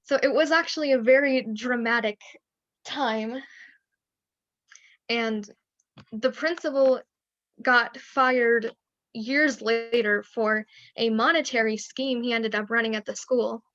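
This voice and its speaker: A woman with a voice a bit higher than most tells a personal story frankly, now and then breaking into small rueful laughs. She comes through a computer mic on a video call, and the sound is dry and dull. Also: fairly even intonation, slow pace, pauses often, a bit bright, no room sound. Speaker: webinar panelist.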